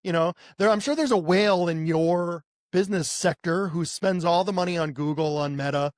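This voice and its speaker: A man's voice: high-pitched, normal speed, dry recording, clean studio sound. An American man co-hosting a podcast in casual talk, conversational and given to posing rhetorical questions. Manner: conversational, poses rhetorical questions and voices imagined clients. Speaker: podcast co-host, marketing agency owner.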